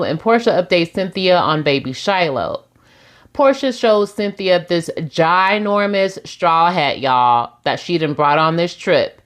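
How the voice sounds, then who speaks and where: A woman, recapping reality TV in a sassy, chatty way and laughing often. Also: on the low side. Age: 40s. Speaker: recap host.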